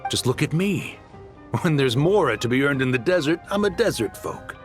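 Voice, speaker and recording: A man's voice: fairly high pitch, expressive, moderate pace, faint music behind, close to an everyday voice. A man playing a smug, greedy swindler turned socialite in a video game, with a taunting, theatrical delivery.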